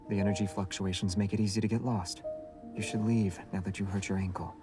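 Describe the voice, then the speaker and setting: An actor voicing a mysterious, calm male loner in a game, measured, hushed and ominous. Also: lowish voice, natural voice. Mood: cool, guarded. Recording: game audio with loud music.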